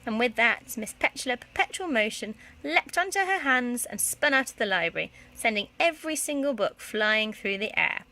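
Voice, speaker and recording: Animated, bright-voiced woman storyteller. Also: on the high side, normal speed, hardly any pauses. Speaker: primary school teacher reading aloud. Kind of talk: expressive children's story narration.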